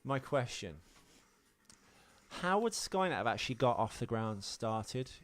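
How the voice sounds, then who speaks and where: A man's voice: mid-range voice, sing-song, unhurried. A man co-hosting a podcast, chatting casually about a film and explaining details as he goes. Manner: explanatory, reading out film continuity errors. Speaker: podcast co-host. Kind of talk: casual film-commentary chat.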